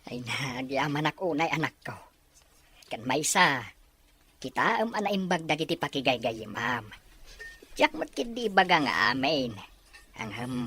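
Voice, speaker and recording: A woman playing a gentle, worried farmer father who speaks tenderly and cautions, in a melodramatic audio drama. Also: a bass voice, husky, exaggerated voice. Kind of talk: melodramatic radio-style drama acting.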